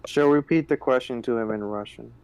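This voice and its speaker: A man playing a tabletop roleplaying game, describing his character's actions in a casual, halting way. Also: medium pitch, darkish tone, poor audio.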